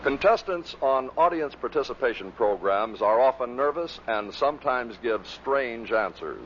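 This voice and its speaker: A man's voice: mid-range voice, lively intonation, normal speed. A man narrating in a scripted old-time radio style, introducing clips as the host. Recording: dull vintage radio recording, faint noise.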